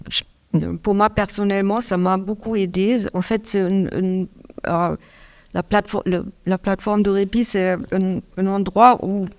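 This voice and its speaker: A middle-aged woman from Germany speaking French with a German accent, giving a hesitant, halting caregiver's testimony with many restarts. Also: medium pitch, a little mellow. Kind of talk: public testimony interview.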